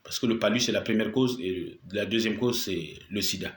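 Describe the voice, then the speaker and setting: A man's voice: fairly low pitch, normal speed, roomy sound. A man with a cold and a sore throat, giving an indignant video statement and repeating his points for emphasis. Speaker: political commentator.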